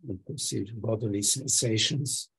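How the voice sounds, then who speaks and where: Husky, slow-spoken man guiding meditation. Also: fairly low pitch, fairly bright tone, rough recording. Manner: unhurried, sparse phrases with long pauses. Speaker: meditation teacher. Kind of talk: guided meditation, slow gentle instruction.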